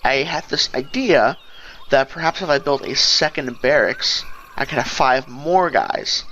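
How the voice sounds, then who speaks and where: A man's voice: mid-range voice, a little slow, bright, forceful tone, lo-fi sound, slight background noise. A man giving casual, rambling gameplay commentary, thinking aloud as he goes. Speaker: let's play host. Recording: game music behind the voice. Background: fantasy game music and sounds.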